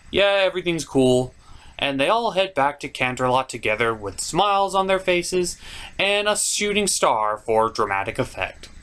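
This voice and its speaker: Male review host, very lively swooping intonation, theatrical and sarcastic, scripted comedic narration. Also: on the high side. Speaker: cartoon reviewer, show host.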